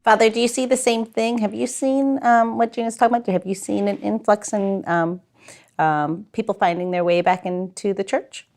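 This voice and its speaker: A woman co-hosting a podcast, speaking in a casual, reflective and somewhat halting way. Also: mid-range voice, fairly even intonation, a little mellow. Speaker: podcast co-host.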